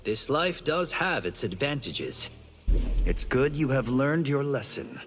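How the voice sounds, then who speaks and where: A man's voice: mid-range voice, very animated, slow, a bit bright, slight background noise. An actor playing an earnest, dutiful young male champion fighter in video game dialogue. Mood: earnest, steady. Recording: long hall reverb.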